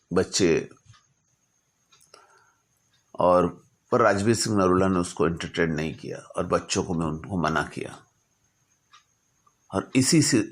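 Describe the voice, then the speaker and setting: A man narrating stories informally as an astrologer, speaking deliberately in short phrases broken by pauses. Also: low-pitched. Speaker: astrologer telling client stories.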